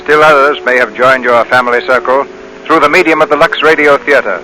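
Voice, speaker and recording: A man hosts in formal 1930s radio style. His voice is medium in pitch and forceful, with faint music behind it. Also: a little fast, low-quality recording. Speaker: radio theater host.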